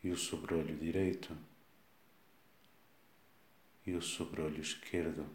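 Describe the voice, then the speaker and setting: A man with a European Portuguese accent guides a body scan meditation, giving slow, calm instructions with long pauses. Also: a bass voice. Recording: echoey, reverberant room sound.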